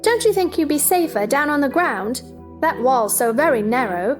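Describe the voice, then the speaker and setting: A woman's voice: sits high, animated, moderate pace, faint music behind. A woman playing a polite, curious, bewildered seven-year-old girl in a theatrical audio drama, earnest and wondering. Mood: polite, puzzled.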